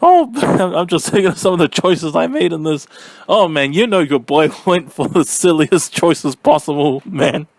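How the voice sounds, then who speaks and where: A man giving a casual, rambling spoken review, halting and slangy, with a silly, giggly manner. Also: fairly high pitch, animated, creaky, dry recording. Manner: silly, giggly, easily cracks up. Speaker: vlogger giving film and game reviews.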